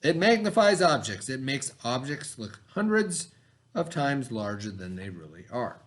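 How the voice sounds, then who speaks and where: A man reading aloud as a teacher in an expressive educational style, pausing to explain and repeat key words for children. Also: medium pitch.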